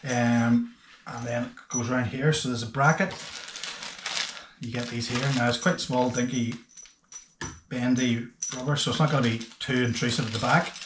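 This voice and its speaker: A man, hosting a casual boating explainer to camera in a hesitant, unscripted way with frequent pauses. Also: mid-range voice, fairly even intonation, noisy background. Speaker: boating video host. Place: small indoor workshop room with bare walls. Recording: echoey bare room.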